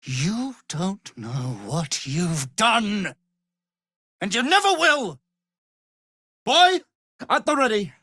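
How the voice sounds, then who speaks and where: An arrogant, menacing wizard from a fantasy game, speaks in an unhurried, highly animated voice. He is pompous and condescending, delivering each phrase with theatrical relish. The recording is dry and close. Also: breathy edge, no room sound, heavily acted. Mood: commanding, furious. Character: arrogant, power-hungry male wizard, haughty and menacing.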